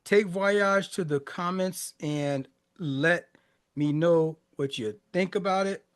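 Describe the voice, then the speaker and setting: A man's voice: sits high, slow, smooth. Laughing, conversational man in his forties. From born in Haiti, raised in the United States. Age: 40s. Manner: laughs often, loose and conversational, many restarts and fillers. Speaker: music reaction podcast host. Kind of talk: casual reflective monologue.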